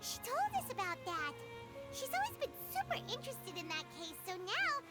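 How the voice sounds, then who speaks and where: Perky little game sidekick: extremely high, squeaky, childlike, wildly animated delivery. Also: female voice, moderate pace, heavily acted. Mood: chirpy. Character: tiny, perky, childlike female companion sprite. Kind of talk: video game voice acting. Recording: loud game music underneath.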